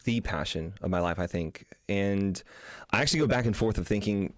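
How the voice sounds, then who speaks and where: A young man chatting casually as a podcast guest, his voice slightly hoarse, thinking aloud informally as he tells stories. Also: fairly low pitch, fairly quick, no room sound, clean studio sound. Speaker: street magician, podcast guest. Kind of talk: casual conversational storytelling.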